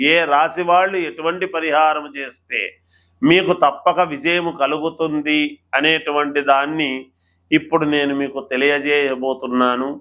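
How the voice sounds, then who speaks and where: A man speaking as an astrologer, explaining remedies to viewers in a deliberate, instructional way and repeating phrases. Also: a little high, pushed and bright. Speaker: astrologer presenter.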